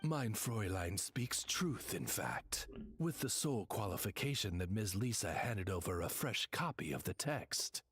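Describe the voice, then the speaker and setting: An actor playing a refined, deferential familiar in a video game, speaking formally as a loyal servant. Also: male voice, on the low side, very animated, a bit bright, slightly rough, dry recording, heavily acted. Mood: calm, explanatory. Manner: courtly, measured, deferential. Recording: game audio with faint music.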